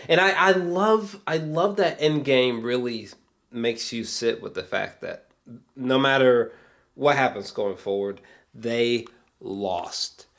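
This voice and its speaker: A man's voice: mid-range voice, fairly bright tone. A man giving a casual, rambling film review with geeky, awed enthusiasm, often hesitating, trailing off and swearing casually.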